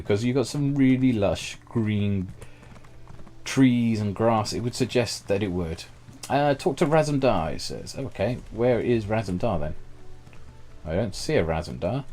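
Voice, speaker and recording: A man streaming a video game, chatting enthusiastically and thinking aloud, his voice a little hoarse. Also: fairly low pitch, a little mellow, slight background noise. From Britain. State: slightly hoarse, losing his voice. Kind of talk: casual gameplay commentary.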